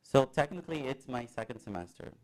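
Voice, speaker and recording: A young man from Brazil with a Brazilian Portuguese accent, a student guest chatting casually in an interview, halting often with fillers and small laughs. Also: mid-range voice, lively intonation.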